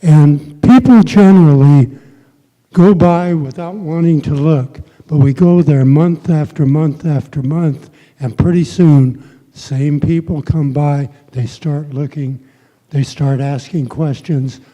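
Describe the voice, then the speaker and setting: A man in his seventies making a deliberate public appeal as an activist at a meeting, phrase by phrase, on a handheld microphone. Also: a little high, mellow. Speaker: activist addressing a community meeting. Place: large synagogue hall, indoors. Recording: dull, echoing hall sound.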